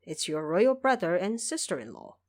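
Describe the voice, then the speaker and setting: A woman voicing a grave, composed male emperor, measured and regal, in an audio drama. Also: on the low side, sing-song, no room sound. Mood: soothing, concerned.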